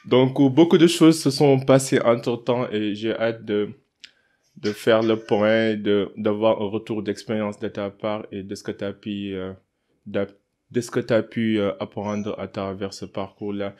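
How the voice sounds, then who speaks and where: A man hosting a podcast, chatting in a relaxed way with frequent fillers and laughs. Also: on the low side, a little slow. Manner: relaxed, laughs often, many fillers and restarts. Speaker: podcast host. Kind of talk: casual interview.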